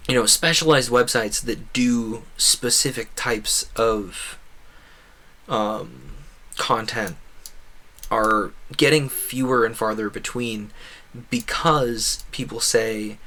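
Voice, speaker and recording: A man's voice: lowish voice, sharp tone. A man giving casual gameplay commentary as a streamer, thinking aloud in a wordy, meandering way. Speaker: game streamer.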